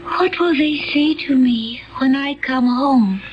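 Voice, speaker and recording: An actress playing a serene, remote woman accused of murder in a radio drama, with formal, deliberate phrasing. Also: sits high, highs cut off, a little hiss or hum. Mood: stunned. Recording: band-limited old radio drama recording.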